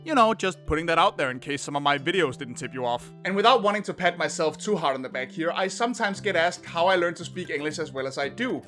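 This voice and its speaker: A man in his thirties from Denmark, speaking English, giving a sarcastic, animated scripted review. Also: on the high side, quick pace, few pauses, faint music behind. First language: Danish. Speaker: video reviewer.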